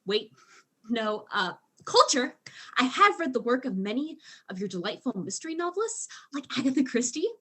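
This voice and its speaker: A woman playing a pompous, eager admirer of human culture in a mystery drama, husky and formal. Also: on the high side, big pitch swings, moderate pace, bright, forceful tone, full character voice. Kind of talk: acted mystery audio drama.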